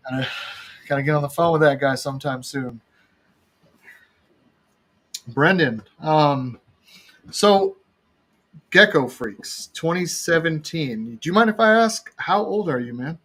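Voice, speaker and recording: A man with a fairly high voice hosts a casual podcast over a video call. He talks in an easygoing, halting way, full of fillers and restarts. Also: animated, fairly bright tone. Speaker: podcast host.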